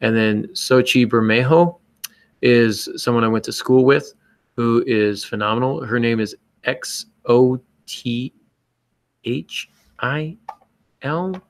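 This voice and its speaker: A man's voice: mid-range voice, slow pace. A man hosting a podcast with casual, joking chat, enthusiastic and somewhat rambling. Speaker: podcast host.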